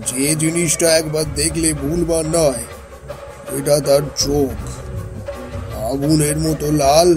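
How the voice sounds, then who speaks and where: An actor playing a grave elder brother who recounts an ominous village tale with deliberate, dramatic pauses in a horror audio drama. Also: male voice, a little high, close to an everyday voice. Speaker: storyteller character.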